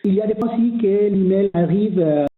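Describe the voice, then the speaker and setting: A man's voice: sits high, a little fast. A man who is not a native French speaker, presenting a webinar and explaining online registration, hesitant with many fillers and restarts. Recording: echoey phone-like webinar audio.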